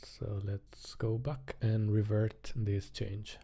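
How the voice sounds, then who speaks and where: Slow, dark-voiced man muttering through code. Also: fairly low pitch, level tone, creaky, slightly rough. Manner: hesitant, muttering to himself. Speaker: live coding streamer. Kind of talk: casual live coding, thinking aloud.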